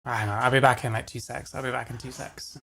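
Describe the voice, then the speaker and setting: A man's voice: mid-range voice, little pitch movement, fast, creaky, a crowd in the background. A man giving casual live commentary as a streamer reacting to another stream.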